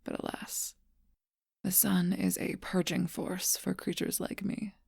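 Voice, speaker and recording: Woman doing a vampire girlfriend whispering, low gravelly fry, super breathy, flat and slow, asmr close mic. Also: dry recording, heavily acted. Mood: teasing.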